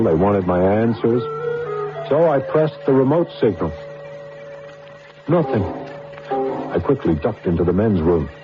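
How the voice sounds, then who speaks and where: A man's voice: low-pitched, dull audio. Nervy male scientist, stammering, wide swooping delivery; vintage radio drama, band-limited with music. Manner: stammering, hesitant, excitable. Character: flustered, anxious male computer scientist telling his own story. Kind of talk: old-time radio drama acting and narration.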